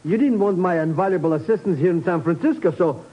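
An actor playing a cowardly comic sidekick in a 1950s radio drama, stammering through slangy, nervous protests. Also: male voice, high-pitched, fast talker. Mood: defensive. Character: bumbling, cowardly comic sidekick man, shifty and excitable. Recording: muffled 1950s radio recording.

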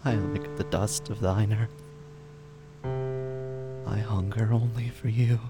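A man playing the brooding narrator of a religious horror audio drama, speaking slowly and solemnly with intensity, at times near a whisper. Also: fairly low pitch, steady tone. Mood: solemn, tormented. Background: music with guitar.

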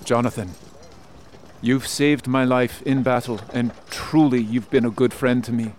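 An actor playing an earnest, loyal friend who speaks in archaic phrases, in a radio drama. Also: male voice, mid-range voice, steady tone, a little slow, darkish tone, slight background noise, close to an everyday voice. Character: loyal old-fashioned friend, earnest and warm.

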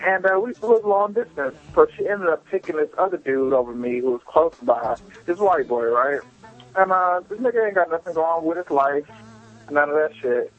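A man's voice: a little high, expressive, moderate pace. Male caller, twenties, candid and foul-mouthed confessional storytelling, muffled voicemail over music. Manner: candid, self-deprecating, profane. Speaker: listener calling a podcast voicemail. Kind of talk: casual personal storytelling.

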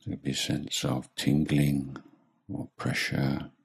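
A man leading a guided meditation as a teacher, speaking calmly in short, measured phrases with long pauses. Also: rumbling low, vocal fry, breathy edge. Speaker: Buddhist monk meditation teacher.

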